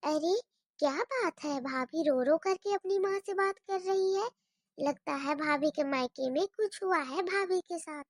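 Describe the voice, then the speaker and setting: A woman's voice: very high-pitched, sing-song, normal speed, fairly bright tone, no echo, studio quality, exaggerated voice. A woman voicing a nosy unmarried sister-in-law who mutters sly asides.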